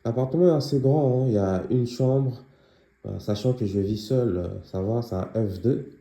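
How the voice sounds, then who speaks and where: A young man giving a casual tour of his apartment, pausing often with fillers as he walks around. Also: mid-range voice, mellow, roomy sound. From Congolese heritage, living near Paris. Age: young adult. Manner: hesitant, many filler pauses. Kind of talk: casual home tour vlog. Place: small apartment indoors.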